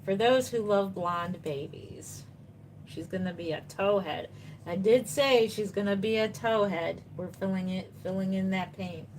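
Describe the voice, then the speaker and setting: A woman's voice: medium pitch, a little slow, darkish tone, slight background noise. A woman in her fifties, a doll artist chatting casually on a live stream, reading viewer comments and answering them reassuringly while she paints. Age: 50s.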